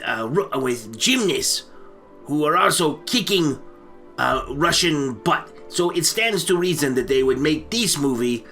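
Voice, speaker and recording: A man with a creaky, slightly high voice chats as a comedy co-host. He hesitates and stammers, repeating words as he goes. Music runs underneath. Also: bright, forceful tone. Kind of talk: casual comic movie discussion.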